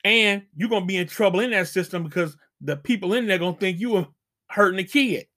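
A man's voice: sits high, fairly quick, bright, forceful tone. A middle-aged man hosting a channel, giving casual dating advice as an emphatic, preachy warning full of slang.

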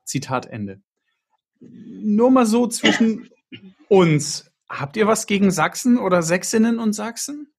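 Guy hosting a podcast, kinda husky, higher voice, restarts a lot, asks ne? all the time. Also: animated, takes it slowly, darkish tone. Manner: thinks aloud, restarts often, tag questions. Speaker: podcast host. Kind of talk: conversational podcast discussion.

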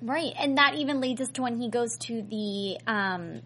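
A woman's voice: a little high, normal speed, a bit bright, slight background noise. A woman hosting an after-show, chatting casually and animatedly with a panel and viewers. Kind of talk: casual fan discussion.

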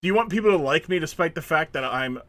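A man co-hosting a casual podcast chat, animated, acting out quotes and laughing often. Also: a little high, fast talker, no room sound. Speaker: podcast co-host.

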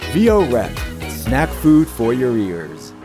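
Male announcer for audio drama credits: scripted, lively pitch, faint music. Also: takes it slowly.

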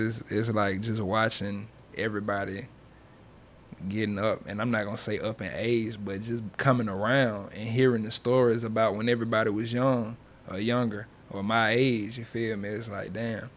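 Young man chatting, low-pitched and slangy. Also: slight background noise. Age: young adult. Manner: slangy, loose, peppered with check-in phrases. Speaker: interview guest, indie music artist. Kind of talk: casual interview chat.

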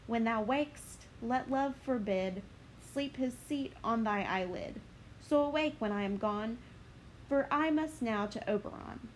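A woman voicing a mischievous male forest sprite in a slow, rhythmic dramatic verse reading. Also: fairly high pitch, slight background noise, lightly acted, rough recording. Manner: measured, rhythmic verse delivery.

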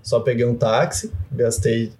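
A man's voice: mid-range voice, measured pace. Laid-back Brazilian man telling a story. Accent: Brazilian Portuguese. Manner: relaxed, filler-heavy, often says "tipo". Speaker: podcast guest. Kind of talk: casual storytelling chat.

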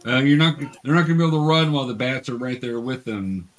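A man's voice: mid-range voice, fast. Male game master, mid-range voice, patient and explanatory, teaching a tabletop fantasy game in conversational narration.

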